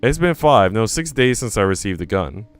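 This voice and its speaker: Visual novel lead: anxious young woman, dramatic inner monologue, lively intonation, smooth tone male reader. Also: lowish voice, moderate pace, soft background music. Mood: troubled. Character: Nana, anxious young woman narrating her troubles. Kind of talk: visual novel first-person reading.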